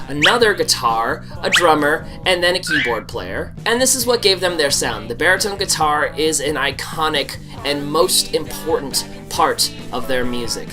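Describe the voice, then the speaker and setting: A man hosting a casual music review vlog, talking like an eager fan while congested from a cold. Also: fairly high pitch. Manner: enthusiastic fan talk with some swearing. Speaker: vlogger and show host. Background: band music playing.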